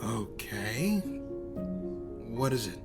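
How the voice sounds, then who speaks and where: A man's voice: mid-range voice, sing-song, drawn-out words, vocal fry, exaggerated voice. A man acting the frank, challenging partner of a vigilante in an audio drama, with a questioning manner. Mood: attentive. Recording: music bed under the voice.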